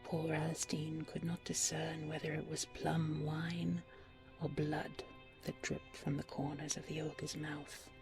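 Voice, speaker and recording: A woman narrating a scripted bedtime fairy tale in a soft, breathy, soothing and unhurried way, as a storyteller. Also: deep voice, completely flat delivery, music in the background.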